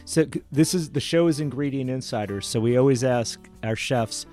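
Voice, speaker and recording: Male podcast host, middle pitch, friendly chat, faint music bed. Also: normal speed, a little mellow. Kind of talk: casual interview chat.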